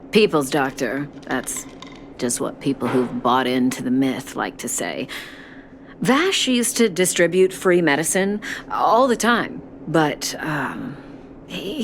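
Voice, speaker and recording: A woman's voice: low voice, slight background noise. A woman playing a bitter, skeptical patient in a video game, blunt and dismissive with small hesitations. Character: bitter, skeptical adult patient, cynical about a doctor.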